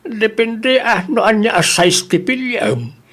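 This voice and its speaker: A man's voice: sits high, sing-song, takes it slowly, fairly bright tone, heavily acted. A man with an Ilocano accent playing an easygoing, helpful father in a family audio drama.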